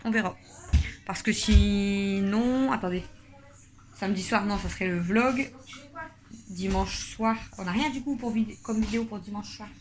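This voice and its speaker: A woman's voice: mid-range voice, unhurried, faint music behind. A woman, chatting casually in a home family vlog and laughing often. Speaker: family vlogger, mother.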